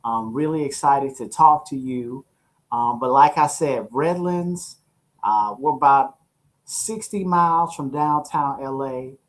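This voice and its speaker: A middle-aged American man hosting a podcast, chatting casually in a rambling, slangy, animated storytelling way. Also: medium pitch, slow pace, rough recording. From St. Louis, USA. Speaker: podcast host. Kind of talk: casual chat.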